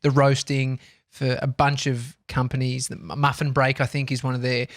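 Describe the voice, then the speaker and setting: A man's voice: mid-range voice, flat delivery, moderate pace. An Australian man co-hosting a podcast in casual chat, laughing often and swearing freely. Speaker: podcast co-host.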